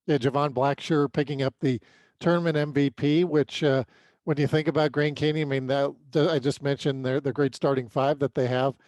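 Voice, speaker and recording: An American man co-hosting a sports podcast, giving an unscripted recap in a halting way with repeated words. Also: medium pitch, fairly even intonation, darkish tone, no echo.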